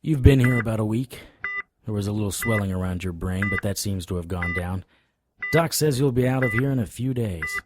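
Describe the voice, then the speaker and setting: An actor playing a weary, lovestruck occult investigator who narrates his own case in a wry, measured way for an audio drama. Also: lowish voice, background noise, lightly acted. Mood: tender, wry. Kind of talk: first-person noir audio drama narration and dialogue. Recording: music under the voice.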